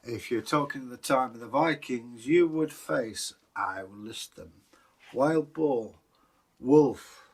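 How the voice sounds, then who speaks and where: A man's voice: medium pitch, slow, husky. A British man co-hosting as a storyteller, telling folktales and chatting in a casual, musing way. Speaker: co-host and storyteller.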